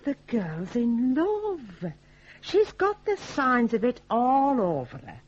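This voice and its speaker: An actress playing a scheming middle-aged mother in a radio drama, brisk and nagging, with a Northern English accent. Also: on the high side, wildly swooping pitch, darkish tone, breathy edge, exaggerated voice. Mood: scheming, bossy. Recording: dull 1980s radio broadcast recording.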